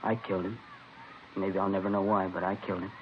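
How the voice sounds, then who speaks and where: An actor playing a resigned, brooding murderer in a 1950s radio drama, musing quietly and fatalistically. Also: male voice, low voice, level tone, quick pace, natural voice. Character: resigned, brooding male murderer giving himself up. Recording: dull, noisy old radio recording.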